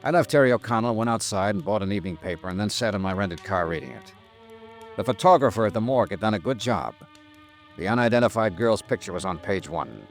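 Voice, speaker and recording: A man playing a tough, world-weary insurance investigator in a 1950s radio drama, clipped and deadpan. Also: fairly low pitch, fast talker, faint music behind. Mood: wry, measured. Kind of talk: 1950s radio detective drama acting and narration.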